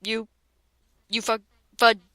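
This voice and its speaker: A woman puts on a hurt, hot-headed young man in a fan fiction reading. The pitch drops well below the reader's own, and the outraged words come slowly, halting and heavily stressed. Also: level tone, fairly bright tone, clean tone, no echo, clean studio sound. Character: hot-tempered, wounded young man shouting accusations.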